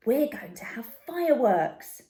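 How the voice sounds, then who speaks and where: A woman voicing an excited, friendly little boy in a children's storybook, exclamatory and enthusiastic. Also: a little high, measured pace, voice pushed up.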